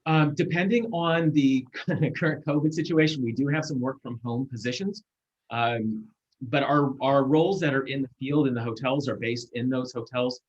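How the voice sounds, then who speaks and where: A man in his forties, a guest speaker, giving a measured, earnest talk over a video call, with fillers and restarts. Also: medium pitch. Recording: muffled video call audio.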